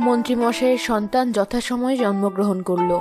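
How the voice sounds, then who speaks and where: A woman narrates a fairy tale from a script. Her voice is a little high, and music plays clearly behind her. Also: a little fast.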